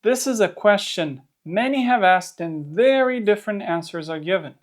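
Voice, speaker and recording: A presenter with a Romanian accent, a native Romanian speaker, gives a scripted educational narration in English, explaining things clearly with playful asides. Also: medium pitch. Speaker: host of a Bible history channel.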